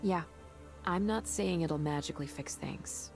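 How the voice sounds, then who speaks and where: A woman voicing a kind, supportive colleague in a visual novel game, speaking in a gentle, reassuring way. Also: low-pitched, a little slow, faint music behind. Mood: gently supportive.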